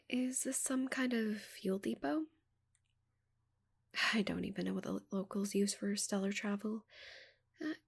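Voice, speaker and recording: Breathy, haughty giant alien woman, acted out by a woman. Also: mid-range voice, darkish tone, full character voice. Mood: puzzled curiosity. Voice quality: breathy, whispery. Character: haughty, flustered giant female alien, naively curious about Earth. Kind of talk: ASMR roleplay.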